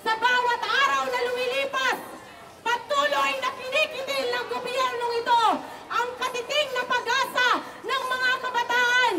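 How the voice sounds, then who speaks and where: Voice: extremely high pitch, level tone, moderate pace. An activist with a Filipino accent giving a protest rally speech. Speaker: youth activist, rally speaker. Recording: noisy outdoor rally with crowd.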